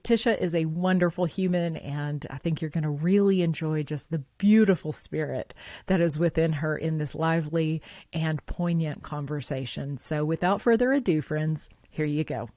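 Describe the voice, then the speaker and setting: Woman host, chatty and gushing, lowish voice, dry close mic. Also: moderate pace, no room sound. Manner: warm, chatty, gushing, restarts often. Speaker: podcast host. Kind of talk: faith podcast hosting.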